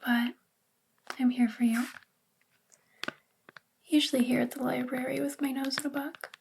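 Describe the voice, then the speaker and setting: A woman playing a sweet, bookish witch librarian in a soft spoken ASMR roleplay, gentle and soothing in a breathy, whispery voice. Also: sits high, little pitch movement, normal speed, slight background noise. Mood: kind, reassuring.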